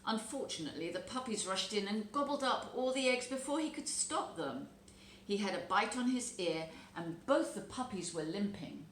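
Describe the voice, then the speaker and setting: A woman reading a children's story aloud as an expressive narrator. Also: mid-range voice, normal speed. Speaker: storybook reader. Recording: roomy echo, background noise.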